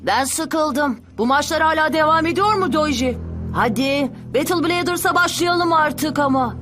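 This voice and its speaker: An impatient little cartoon boy with an extremely high voice. He whines childishly, with clear music behind him. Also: measured pace. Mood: bored, impatient. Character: impatient, whiny young boy. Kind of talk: dubbed anime acting.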